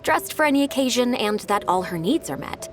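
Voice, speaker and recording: A woman playing a diligent, eager young secretary in a video game, brisk and polite as she methodically explains things. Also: a little high, animated, natural voice. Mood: brisk, earnest.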